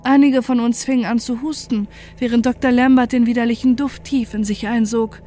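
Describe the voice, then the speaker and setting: A woman's voice: fairly high pitch, fairly even intonation, brisk, warm tone, natural voice. A woman playing a defiant, desperate scientist who narrates a horror story in an intense, dramatic way. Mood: grave narration.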